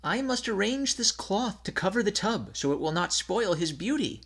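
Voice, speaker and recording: A busy storybook householder, acted by a man, fussing over holiday decorations. The voice is lifted high. The delivery is fussy and eager. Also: sing-song, normal speed, fairly bright tone, slightly rough, exaggerated voice. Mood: fussy excitement.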